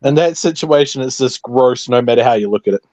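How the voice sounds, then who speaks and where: Male stream guest, late 20s, dark mellow tone, self-deprecating banter, close dry sound. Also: mid-range voice, fairly quick, no echo. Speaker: livestream guest. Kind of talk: casual chat.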